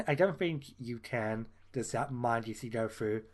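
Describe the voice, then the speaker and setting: A man's voice: medium pitch, a little slow. A man co-hosting a gaming podcast, talking casually, laughing often and trailing off mid-sentence. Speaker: podcast co-host.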